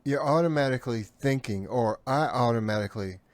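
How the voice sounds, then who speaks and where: A man chatting casually as a podcast co-host, a bit halting as he thinks out loud. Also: mid-range voice, no room sound. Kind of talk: casual chat.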